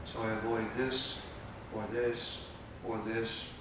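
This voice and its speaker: A man explains movements slowly and patiently, breaking his sentences into short pieces. He stands well away from the mic in a very echoey space. A steady hiss of noise sits under his voice. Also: on the low side. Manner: plain, patient explaining with pauses. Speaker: tai chi instructor. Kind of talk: spoken instruction while demonstrating. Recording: echoey, distant with background noise.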